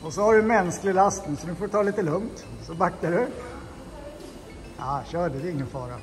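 A cheerful man with a high, bright-sounding voice chats and coaches people through tasks in a big car workshop. The sound carries a long hall reverb, with music playing clearly behind him. He keeps things upbeat and encouraging. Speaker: vlog host, garage owner. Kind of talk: casual workshop vlog chat. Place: large car workshop, indoors.

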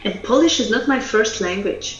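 A woman's voice: mid-range voice, lively intonation, fast. Woman, roomy dull recording. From Russia. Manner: earnest, thoughtful, with frequent fillers and restarts. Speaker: guest, communication coach. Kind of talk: podcast interview.

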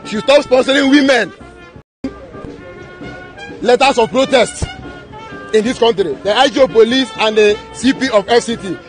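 A man's voice: very high-pitched, moderate pace, long pauses, soft background music. A Nigerian man speaking as a group spokesman outdoors into press microphones, declaiming and repeating his demands. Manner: declamatory, repetitive. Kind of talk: public statement to press. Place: outdoors among a crowd. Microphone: press microphones held up.

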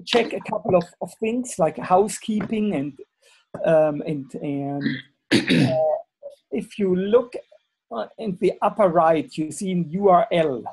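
A man with a German accent giving a webinar talk over a video call, halting and full of fillers and restarts. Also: sits high, darkish tone, slightly rough, low-quality recording. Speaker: webinar speaker, university lecturer. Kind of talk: hesitant presentation on a video call.

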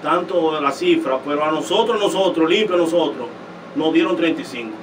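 A man's voice: a little high, moderate pace. A young man, a guest musician, talking boastfully and emphatically in a studio interview. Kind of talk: casual interview talk. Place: indoor studio set. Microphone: studio desk mic. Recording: distant mic with steady noise.

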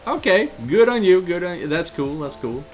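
A middle-aged man giving casual playthrough commentary and reading dialogue, speaking in a hesitant, halting way. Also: sits high, lively intonation. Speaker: gaming video creator. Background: game music and rain ambience.

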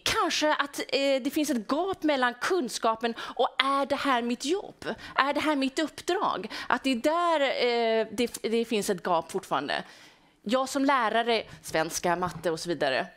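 A woman moderates a live panel. Her voice is fairly high. She speaks spontaneously on a large stage, wearing a headset mic. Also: fairly bright tone. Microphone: headset microphone.

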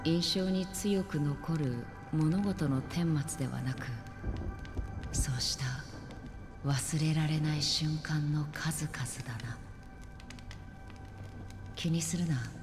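An actress playing a calm, melancholy, detached wandering woman in a Japanese video game, solemn and softly breathy at phrase ends. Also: low-pitched, slow pace, long pauses, over music.

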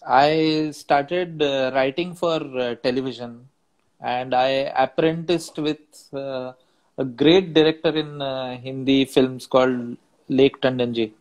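A man in his forties with an Indian English accent, a native Marathi speaker, talking as a guest on a video call through an earphone mic, thoughtful and pausing often. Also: medium pitch, fairly even intonation, low-quality recording. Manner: thoughtful, hesitant with many uh fillers and restarts. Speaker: musician and storyteller guest. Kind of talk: reflective conversational interview. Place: home study with bookshelves, indoors. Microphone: wired earphone mic on video call.

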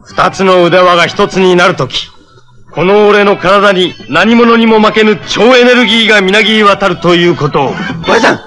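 An actor playing a fierce, righteous young jungle superhero in a 1970s Japanese tokusatsu show, emphatic, terse and commanding. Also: male voice, sits high, normal speed. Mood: defiant, urgent. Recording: vintage TV soundtrack with loud music. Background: loud music.

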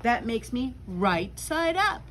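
A woman's voice: on the high side, big pitch swings, slow, a bit bright, slight background noise, full character voice. A woman voicing a puzzled, bluntly correcting bat in a storybook, with emphatic explaining. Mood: insistent.

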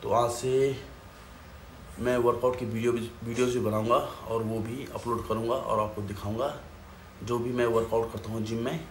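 A man's voice: medium pitch, level tone, moderate pace, a little hiss or hum. Chatty man vlogging into his phone. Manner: chatty, explains step by step, mixes in English phrases. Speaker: fitness vlogger. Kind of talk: casual vlog talk to camera. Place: small room at home, then a gym. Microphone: phone camera at arm's length. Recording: echoey room with music behind.

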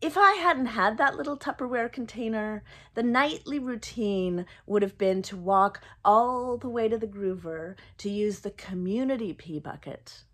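A woman in her fifties reading her own first-person story aloud expressively, with measured delivery and dramatic pauses. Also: fairly high pitch, fairly bright tone. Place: small indoor room, plain wall.

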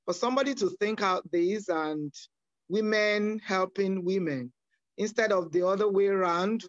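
A woman's voice: medium pitch, dry recording. A woman on a video call thanking the hosts in an earnest, appreciative way. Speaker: attendee. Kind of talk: casual online meeting remarks. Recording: dull, dry video call audio.

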